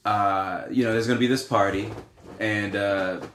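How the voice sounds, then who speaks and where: A man, in his thirties, speaks casually on a podcast. His voice sits on the low side, and he rambles with frequent fillers and restarts. The room around him is echoey. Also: steady tone, moderate pace, a bit bright, slight background noise. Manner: rambling, hesitant, lots of fillers. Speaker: podcast co-host. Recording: roomy echo.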